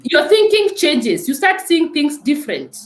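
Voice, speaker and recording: A Kenyan woman with a high, lively voice that leaps up and down as she urges her listener on. She speaks with earnest conviction, often stressing her point with "let me tell you." The sound carries some echo from the room. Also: measured pace. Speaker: nurse and guest. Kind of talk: emphatic testimony in an interview.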